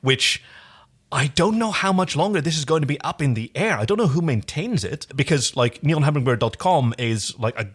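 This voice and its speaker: A man's voice: a little high, lively intonation, moderate pace, breathy edge. A man co-hosting a podcast in casual chat, introducing and reading fan fiction. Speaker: podcast co-host.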